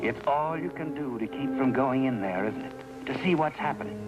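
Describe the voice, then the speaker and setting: A man's voice: medium pitch, expressive, moderate pace, dull audio, close to an everyday voice. An actor playing a sinister, all-knowing male narrator who tauntingly addresses a guilty schemer in a 1950s suspense show. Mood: knowing, ominous. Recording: 1950s TV soundtrack, loud music behind.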